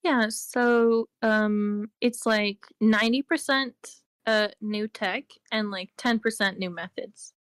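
A woman's voice: a little high, fairly even intonation, slow, few pauses, a bit bright, clean tone, dry recording, clean studio sound. A woman being interviewed on a podcast, explaining at length in a casual way, with frequent "like" and "um". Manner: explains at length, with many fillers like "like" and "um". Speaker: interview guest.

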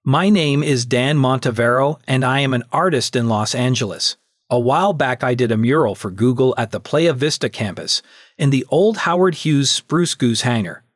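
A man with a husky, mid-pitched voice shares a short anecdote as an artist. It sounds dry and close, without room sound. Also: animated, moderate pace, no echo. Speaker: artist telling a personal story. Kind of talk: brief first-person anecdote.

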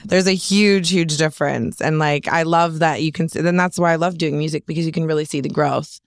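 Raspy young Canadian woman, dry studio sound. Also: sits low, steady tone, brisk, no echo. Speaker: interview guest, rapper and songwriter. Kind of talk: casual chat.

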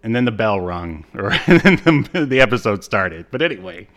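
A man's voice: lowish voice, very animated, normal speed, a bit bright. An American man co-hosting a casual comedic chat, given to jokey, mock-dramatic asides. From United States. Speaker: podcast co-host.

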